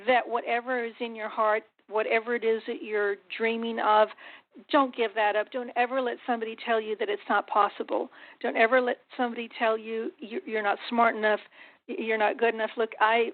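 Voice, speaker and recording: A woman's voice: fairly high pitch, little pitch movement, normal speed. A woman whose first language is Spanish, from the US–Mexico border region, giving earnest, encouraging advice as a podcast guest. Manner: earnest, encouraging, repeats for emphasis. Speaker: guest, corporate consultant. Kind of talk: motivational parting speech on a podcast. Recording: phone-like narrow line.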